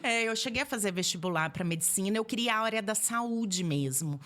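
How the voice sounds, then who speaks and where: A woman in her forties with a Brazilian accent from Minas Gerais, chatting casually as a podcast guest and telling stories in an animated way. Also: mid-range voice, brisk. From Belo Horizonte, Minas Gerais, Brazil. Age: 40s. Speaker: podcast guest, holistic therapist. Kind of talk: casual conversational interview.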